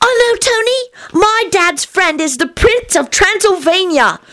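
A woman's voice: extremely high pitch, lively intonation, normal speed, a bit bright, pitched above the performer's own voice. A woman voicing a cheerful, bold, precocious little boy in a story, speaking in an earnest, knowing, childish way. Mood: delighted.

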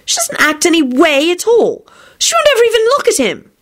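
A woman's voice: very high-pitched, wildly swooping pitch, a little fast, voice pushed up, exaggerated voice. A woman voicing an emotional, defiant young woman with an insistent manner in an audiobook. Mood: defensive. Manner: fervent, insistent.

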